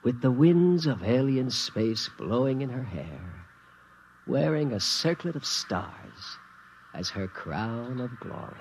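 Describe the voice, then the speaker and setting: A man's voice: mid-range voice, sing-song, slow. An actor playing an obedient spaceman solemnly reciting florid love poetry in a 1950s radio play. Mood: solemn, devoted. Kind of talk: 1950s radio drama acting. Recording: dull, noisy 1950s radio recording.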